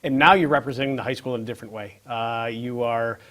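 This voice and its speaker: A man with an ordinary middle-pitched voice hosts a local interview show. He asks his guest questions in a friendly, conversational way. He often repeats the first word of a phrase before he goes on. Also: fast, a bit bright. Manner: friendly, stumbles and repeats words at phrase starts. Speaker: show host, school staff.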